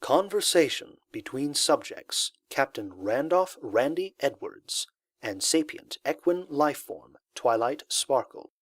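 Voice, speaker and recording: Guy acting a wry space captain in an audio drama, lively pitch swings, bit of rasp in the voice. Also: measured pace, no echo. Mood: wry, reflective. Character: wry human astronaut captain dictating mission logs. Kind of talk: audio drama narration as log entries.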